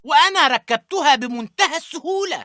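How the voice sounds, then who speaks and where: A man's voice: very high-pitched, animated, racing, bright, forceful tone, exaggerated voice. A man voicing a cocky, boastful know-it-all bungler in a cartoon, with emphatic delivery. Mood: confident. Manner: boastful, emphatic, self-assured. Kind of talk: cartoon voice acting.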